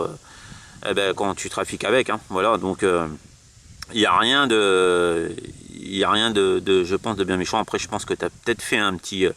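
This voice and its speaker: A man in a casual amateur radio chat, speaking haltingly and repeating himself with many verbal tags. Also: on the low side, a bit bright, clear, resonant tone. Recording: noisy radio transmission, vehicle-like hum.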